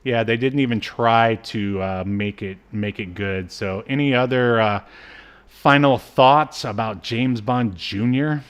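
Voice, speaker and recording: A man co-hosting a podcast in casual review chat, with a halting, rambling delivery. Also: mid-range voice, clear, resonant tone. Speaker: podcast co-host.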